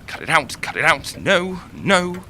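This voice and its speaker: A tormented young writer in an audio drama, self-doubting and lovesick. His voice is sharp and forceful, raw with feeling, with slight noise behind it. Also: on the high side, sing-song, unhurried, heavily acted. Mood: bitter self-loathing. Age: young adult. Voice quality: intense, emotional delivery.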